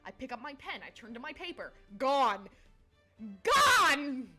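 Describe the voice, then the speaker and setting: Giggly high-voiced young woman streamer. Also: huge pitch swings, unhurried, sharp tone, soft background music. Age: young adult. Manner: giggly, scatterbrained, jumps between topics. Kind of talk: casual livestream chat.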